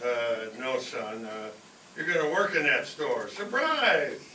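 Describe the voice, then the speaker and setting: An actor playing a blundering, excitable, scheming working-class family man in a 1940s-style radio sitcom. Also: medium pitch, slow, a crowd in the background. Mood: eager, scheming. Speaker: amateur performer in a staged radio show. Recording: echoey room, distant mic, faint noise.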